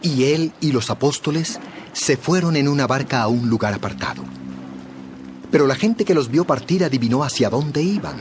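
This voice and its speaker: A man narrating a dramatized Bible story in a measured, expressive storytelling style. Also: medium pitch, music in the background.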